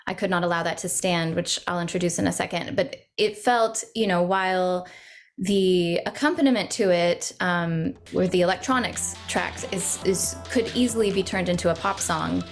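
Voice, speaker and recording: A soprano interviewing a composer in casual, thoughtful chat with frequent ums. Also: female voice, on the low side, moderate pace, soft background music.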